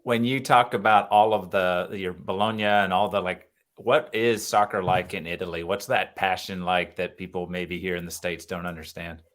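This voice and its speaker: Very low-voiced podcast host. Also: fairly even intonation, moderate pace, fairly bright tone. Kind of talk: podcast interview.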